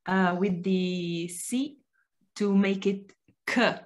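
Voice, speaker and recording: A woman's voice: medium pitch, fairly even intonation, very slow. A woman in her thirties with an Italian accent, casually explaining as a language helper. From Italy. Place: small home radio room, indoors. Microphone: large desk microphone, video call.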